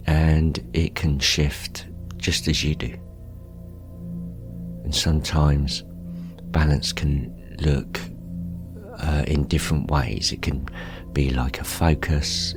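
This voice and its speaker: Male meditation guide, extremely low voice, calm and gentle delivery over background music. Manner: calm, gentle, unhurried with pauses. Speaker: meditation guide, show host.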